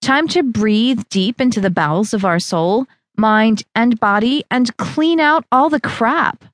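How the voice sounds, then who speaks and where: A woman's voice: fairly high pitch, expressive, measured pace, dry recording, studio quality, voice pushed up. Woman doing a peppy bossy young trainer. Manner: pushy, cheerful, loud coaxing. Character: bossy upbeat young female fitness trainer.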